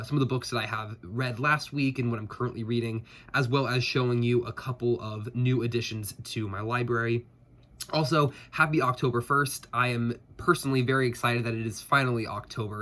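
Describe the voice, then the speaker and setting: A man's voice: medium pitch, fairly bright tone. Congested man chatting about books. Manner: chatty, rambling, many fillers and restarts. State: stuffed up from a head cold. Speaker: booktuber. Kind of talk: casual reading update.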